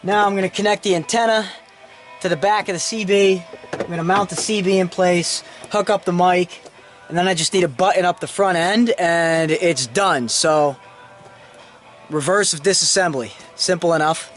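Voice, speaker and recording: A man's voice: sits high, fairly even intonation, normal speed, fairly bright tone, soft background music. A man casually narrating a hands-on car tutorial in an informal, self-deprecating way, with some mild salty slang. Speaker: host of a DIY car how-to video. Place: in and around a vehicle while working on it.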